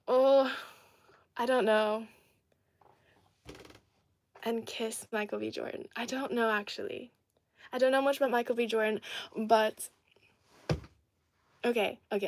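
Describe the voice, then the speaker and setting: Young woman streamer, slightly high voice, dry close sound, chatty and giggly. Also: dry recording. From Ireland. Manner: chatty and giggly, reading comments aloud and answering. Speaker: livestream host reading viewer comments. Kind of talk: casual livestream chat. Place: indoor home setting in Adelaide.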